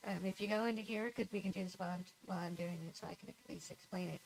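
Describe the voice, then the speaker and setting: A woman's voice: mid-range voice, fairly even intonation, rapid-fire, vocal fry, darkish tone, a little hiss or hum, rough recording. A woman livestreaming a game, talking casually in a nasal voice, rambling and giggling at herself. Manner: rambling, giggly, self-deprecating. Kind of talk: casual gameplay chat.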